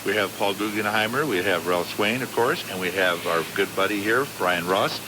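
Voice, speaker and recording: Male call-in radio host over clear noise. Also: on the low side, moderate pace. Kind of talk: live radio call-in hosting. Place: radio studio. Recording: noisy live radio broadcast.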